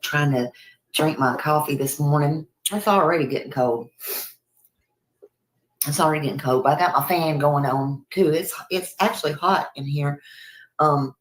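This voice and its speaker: A woman's voice: low-pitched, normal speed, poor audio. A woman livestreamer chatting casually with viewers, rambling and repeating herself.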